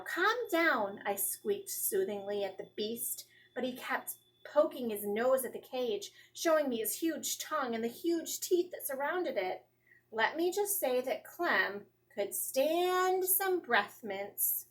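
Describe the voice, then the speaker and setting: A woman's voice: sits high, fairly bright tone, poor audio. A woman in her thirties reading a children's storybook aloud expressively, like a teacher, acting out the dialogue. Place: small classroom, indoors. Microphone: phone or tablet camera at about arm's length.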